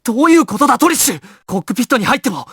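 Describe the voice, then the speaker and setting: A man's voice: extremely high pitch, sing-song, rapid-fire, a bit bright, slightly rough, no room sound, clean studio sound, exaggerated voice. A male actor playing a resolute, composed young gangster squad leader in an anime video game, terse and authoritative in his clipped orders. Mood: urgent shouting. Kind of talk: Japanese anime game voice acting, dramatic.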